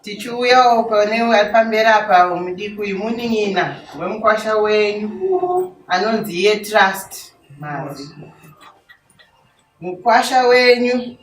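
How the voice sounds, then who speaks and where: Echoey distant recording, faint noise, woman acting as Zimbabwean groom's aunt, formal, respectful, deliberate introductions. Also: mid-range voice, animated, close to an everyday voice. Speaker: groom's family representative. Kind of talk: acted ceremonial introductions.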